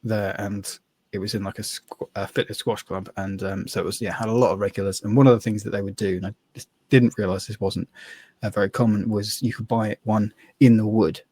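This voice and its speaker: A man's voice: fairly low pitch, fairly even intonation, brisk, darkish tone, no room sound. A man co-hosting a podcast, telling rambling anecdotes. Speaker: podcast co-host. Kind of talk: casual chat.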